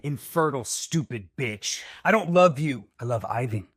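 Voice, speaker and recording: A husky-voiced man of money and power, cold and domineering toward his wife. His delivery is curt and biting, recorded close and dry in audio drama style. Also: medium pitch, animated, moderate pace, a bit bright, dry recording. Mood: contemptuous. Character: cold cruel wealthy husband, contemptuous and domineering.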